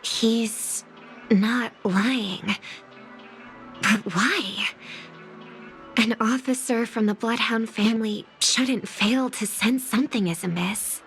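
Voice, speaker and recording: A woman voicing an earnest, watchful and worried young singer in a video game, soft and hesitant in manner. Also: a little high, slow pace, faint music behind. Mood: wary. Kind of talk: scripted game dialogue, inner monologue.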